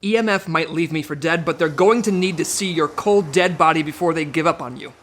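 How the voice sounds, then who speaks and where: An actor playing an exasperated, anxious, by-the-book secret agent in a comedy audio drama, fretful and sarcastic. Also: male voice, on the high side, a little fast, a bit bright, a little hiss or hum, lightly acted. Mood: insistent. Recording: faint background ambience.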